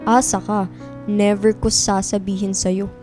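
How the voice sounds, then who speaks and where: A woman's voice: mid-range voice, little pitch movement, measured pace, natural voice. Woman acting blunt, sulky young best friend over music. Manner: blunt, slangy, teasing banter. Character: sulky, teasing college-age female best friend, jealous and guarded. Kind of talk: radio drama dialogue.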